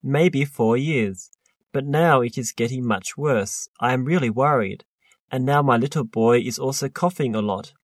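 A worried adult man, a patient who smokes, in an exam dialogue, read by a man. The voice is mid-pitched and the reading plain and careful. It is recorded dry and close. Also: moderate pace, dry recording, close to an everyday voice. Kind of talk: scripted listening-test dialogue.

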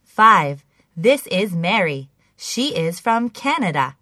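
Bright, deliberate woman reading test items. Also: lowish voice, sing-song, no echo, studio quality. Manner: careful, clearly enunciated. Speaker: English listening test reader. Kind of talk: scripted language-lesson reading, each item repeated.

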